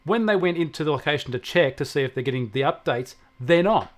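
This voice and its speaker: An Australian man with a voice pitched a little high talks as a technology commentator on a regular show. He explains things conversationally and with emphasis, often stacking repeated phrases to make a point. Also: animated, quick pace.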